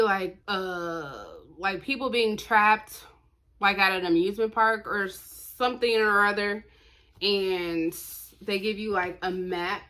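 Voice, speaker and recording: A woman's voice: mid-range voice, bright, forceful tone. A woman talking casually as a book vlogger, emphatic, often answering her own questions in short blunt bursts. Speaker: book reviewer, vlog host. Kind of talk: casual chatty book review vlog.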